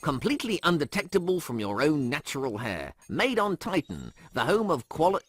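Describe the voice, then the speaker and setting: An officious medical machine with a salesman's patter, acted out by a man reading aloud. The voice is a little rough and swings widely in pitch, delivering formulaic announcements in a dry, close recording. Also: wildly swooping pitch, moderate pace, no room sound, heavily acted. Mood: smug, salesman-like.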